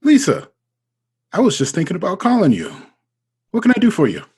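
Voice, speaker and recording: A man acting in an audio drama as a nervous, smitten businessman, with a hesitant, self-doubting delivery and a husky voice. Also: sits high, wildly swooping pitch, brisk, a little mellow, exaggerated voice. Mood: nervous, eager. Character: nervous, smitten businessman talking himself into phoning a married woman.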